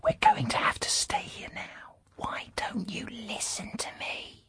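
A woman voicing a small, anxious male animal spirit in an audiobook drama, scolding urgently in a breathy whisper. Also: a little high, wildly swooping pitch, unhurried, fairly bright tone, full character voice. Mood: anxious urgency.

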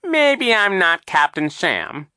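A man's voice: sits high, sing-song, slow pace, sharp tone, dry recording, studio quality, voice pushed up, exaggerated voice. A man voicing a scheming, boastful villainous count with a theatrical, sneering delivery. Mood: evasive. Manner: theatrical, whiny false joy then gloating growl.

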